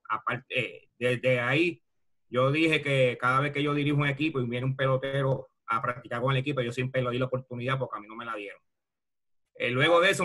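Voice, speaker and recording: Bright-voiced Puerto Rican man reminiscing. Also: mid-range voice. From Bayamón, Puerto Rico. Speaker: retired baseball player, interview guest. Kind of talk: casual reminiscing on a video call. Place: video call from a room at home.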